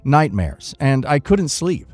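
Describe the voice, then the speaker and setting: A man narrating first-person online stories from a script in an expressive reading manner. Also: mid-range voice, takes it slowly, no echo, faint music behind.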